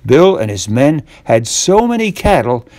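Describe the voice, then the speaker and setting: An older man reads a tall tale as a bedtime story, expressive and deliberate, drawing out key words. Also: fairly high pitch, no room sound. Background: guitar music.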